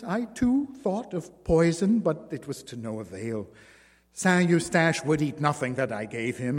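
A man's voice: fairly high pitch, voice pushed up. A man voicing an exhausted, desperate former circus woman who pleads through tears. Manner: pleading, tearful. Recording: long reverb.

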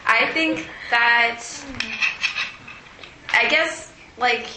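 A woman's voice: fairly high pitch, pushed and bright. A teenage girl speaking hesitantly in a casual group discussion. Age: teen. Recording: echoey room, faint noise.

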